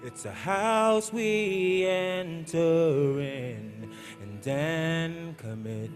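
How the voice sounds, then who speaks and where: A woman's voice: sits low, extremely slow, faint music behind. A woman singing a ballad. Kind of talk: slow sung ballad.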